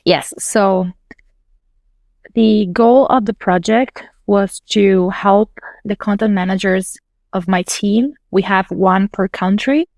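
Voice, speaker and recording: A woman's voice: mid-range voice, slow, a little mellow, no room sound. A woman whose first language is Italian, a podcast guest explaining her work step by step in a casual, thoughtful way. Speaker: podcast guest, localization manager. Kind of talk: casual interview talk.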